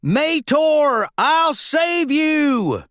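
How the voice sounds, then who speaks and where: A man voicing a friendly, excitable cartoon tow truck with folksy country talk. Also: very high-pitched, drawn-out words, dry recording, studio quality, pitched above the performer's own voice, heavily acted. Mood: urgent, shouting.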